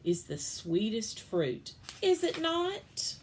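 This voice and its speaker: A woman reading a children's story aloud, voicing a polite, gentle male waiter in a slightly lowered, courteous tone. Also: wildly swooping pitch, slow, a little hiss or hum, heavily acted. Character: polite, gentle restaurant waiter, adult man.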